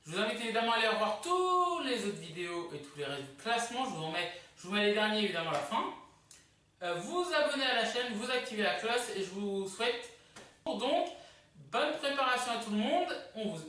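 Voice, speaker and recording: A presenter casually hosting a countdown video, chatty and halting, with self-corrections. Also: mid-range voice, big pitch swings, sharp tone, slight background noise. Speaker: host of a countdown video channel. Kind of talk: casual presenting of a ranking list to camera. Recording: echoey room, distant mic.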